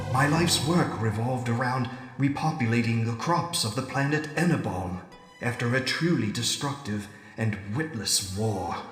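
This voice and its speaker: A man playing an ageing, regretful scientist in an audio drama monologue, speaking formally and broodingly with heavy pauses. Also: medium pitch, fairly bright tone. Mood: proud, wistful. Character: ageing, regretful scientist fighting off an alien conditioning in his mind. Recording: echoey reverberant hall with music underneath. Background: music.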